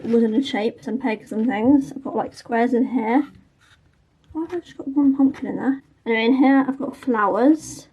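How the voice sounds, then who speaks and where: Croaky young woman vlogger, a little high, tired and rambling, mic back a bit with faint rumble. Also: darkish tone, recorded from a distance, low-quality recording. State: croaky, sore-throated voice. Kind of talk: casual diary vlog.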